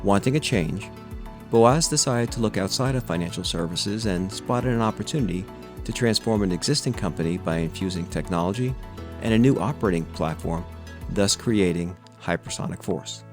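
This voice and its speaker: A man in his sixties hosting a podcast, reading a formal, measured introduction and asking questions. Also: low voice, a little mellow, soft background music. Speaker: podcast host. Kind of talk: scripted intro and interview questions.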